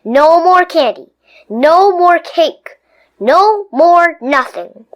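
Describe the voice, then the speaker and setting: A woman's voice: very high voice, lively intonation, very slow, dull audio, voice pushed up, exaggerated voice. Woman voicing a pleading, exasperated schoolboy. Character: schoolboy, put-upon and pleading.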